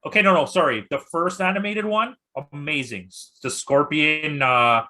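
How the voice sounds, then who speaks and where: A man's voice: a little high, moderate pace, bright, forceful tone. A man in a casual movie discussion, speaking as a guest critic with emphatic, hyperbolic complaints.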